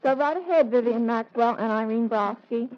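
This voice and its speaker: A woman's voice: fairly high pitch, moderate pace. A teenage American young woman hosting as student editor, reciting scripted dialogue formally on a dull 1940s radio recording. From United States. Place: live radio broadcast.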